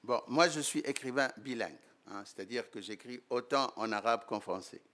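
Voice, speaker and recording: An older man from Tunisia with a Tunisian accent, a writer and professor, answering interview questions at a conference in a measured, hesitant way. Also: medium pitch, a bit bright. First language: Arabic. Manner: measured, hesitant with many fillers. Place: lecture room.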